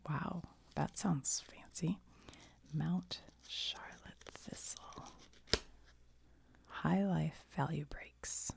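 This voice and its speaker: An American woman whispering in a casual ASMR show-and-tell style, musing aloud to the viewer as she reads things out in a breathy, gravelly voice. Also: low-pitched, extremely slow, pauses often, a little mellow.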